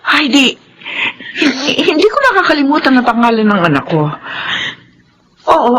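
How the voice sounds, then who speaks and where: A woman's voice: high voice, very animated, moderate pace, fairly bright tone. An actress playing an anguished, wronged mother in an emotional Filipino melodrama, pleading and tearful. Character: anguished, wronged mother confronting daughter and false friend. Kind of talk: Filipino melodrama radio-style acting.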